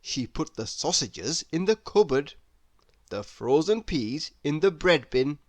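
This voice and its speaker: A woman reading a children's story aloud in an expressive, deliberate, theatrical way. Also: sits low, no room sound. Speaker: storybook reader.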